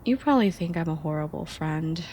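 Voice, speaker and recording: A young woman playing an affectionate, teasing friend in an intimate ASMR roleplay, speaking softly and expressively. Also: low-pitched, brisk, a little hiss or hum. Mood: surprised, playful. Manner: soft, playful, expressive.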